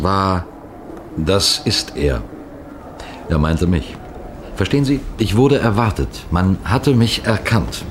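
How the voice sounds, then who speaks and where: A man playing a wary police detective who narrates his mission in deliberate, suspenseful 1960s radio crime drama style. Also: deep voice. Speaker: narrator and lead character. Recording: noisy vintage 1960s radio recording.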